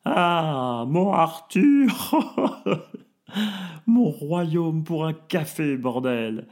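A man voicing a boisterous, hungover woman who drawls loudly and a bit slurred. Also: a little high, expressive, very slow, pitched above the performer's own voice, heavily acted. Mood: rowdy, groggy.

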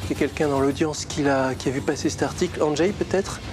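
A man giving a halting academic lecture. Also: fairly high pitch. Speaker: maths lecturer. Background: music.

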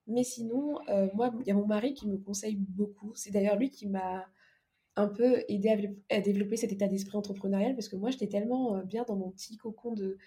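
A woman's voice: mid-range voice, steady tone, fast talker, warm tone. A woman speaking casually as a podcast guest, explaining things informally with examples. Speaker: podcast guest, organisation coach. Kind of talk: casual interview conversation.

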